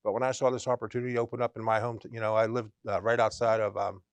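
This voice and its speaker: A man, speaking in a dry studio. He answers earnestly, often pausing and restarting his sentences. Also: fairly low pitch, flat delivery, clean tone, no room sound. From Western Pennsylvania. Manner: earnest, with frequent ums and restarts. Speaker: MBA program director, guest. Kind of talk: conversational interview answers. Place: college TV studio.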